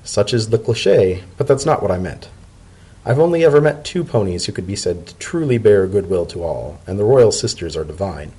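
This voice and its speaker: A man's voice: lowish voice, lively intonation, dark tone, breathy edge, rough recording. A man voicing a polite, thoughtful pony diplomat in a storybook reading, speaking formally and sincerely. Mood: earnest, measured. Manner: formal, measured, sincere speech. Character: earnest, polite male pony civil servant, thoughtful diplomat.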